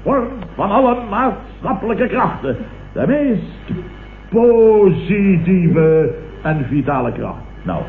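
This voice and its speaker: Blustering, self-important Dutch boss, very lively intonation, vintage radio comedy, tinny sound. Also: male voice, high voice, slow, vocal fry, soft background music, exaggerated voice. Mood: lecturing. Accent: Dutch, from the Netherlands. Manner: pompous, indignant, declamatory, with repeated sputtering interjections. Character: blustering, pompous middle-aged businessman, opportunistically turned leftist. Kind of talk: 1960s-70s radio comedy acting. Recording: tinny, echoey, hissy old radio recording.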